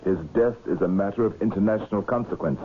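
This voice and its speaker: An actor playing a stern, no-nonsense police inspector in a 1970s radio drama, measured and matter-of-fact. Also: male voice, on the low side, close to an everyday voice. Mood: stern, accusing. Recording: muffled vintage radio recording with hiss.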